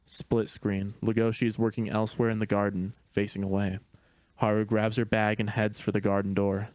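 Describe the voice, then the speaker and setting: A man's voice: on the low side, little pitch movement, moderate pace, a little mellow, no echo. A man narrating a screenplay, reading the stage directions in a matter-of-fact way. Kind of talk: scripted narration.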